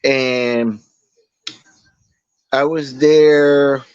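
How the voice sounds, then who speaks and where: A man, a guest telling his story slowly in a casual interview, halting with many fillers and restarts. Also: mid-range voice, steady tone, smooth, no room sound, muffled recording. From Boston, Massachusetts. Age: 40s.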